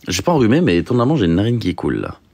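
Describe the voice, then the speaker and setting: A young man chatting casually on a livestream, reading out questions and answering in a halting way, repeating himself with lots of fillers. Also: fairly low pitch, huge pitch swings, vocal fry, darkish tone, no room sound. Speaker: streamer reading and answering chat.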